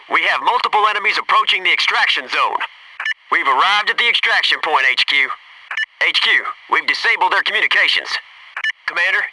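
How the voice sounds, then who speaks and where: An actor playing a focused, businesslike Navy SEAL in a military video game, giving terse, clipped tactical callouts and matter-of-fact reports. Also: male voice, a little high, sharp tone, far from the mic, a little hiss or hum, low-quality recording. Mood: alert, cautioning.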